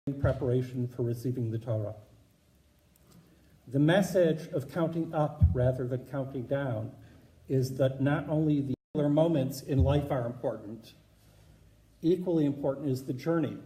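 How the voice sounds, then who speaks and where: A man, a rabbi, giving a measured teaching talk to a congregation in a synagogue hall. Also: mid-range voice, mellow. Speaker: rabbi introducing a speaker.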